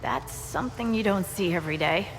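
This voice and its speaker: A woman's voice: on the low side, a little fast, natural voice. An actress playing a practical, watchful female scout in a video game, speaking in a matter-of-fact way. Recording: reverberant space, faint ambient noise.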